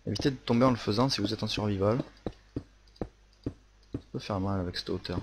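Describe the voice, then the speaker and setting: A man's voice: lowish voice, a little fast. A young man with a Marseille accent narrating a building tutorial casually, hesitant with many fillers and restarts. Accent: Marseille French. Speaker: Minecraft building tutorial host. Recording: faint noise and clicks.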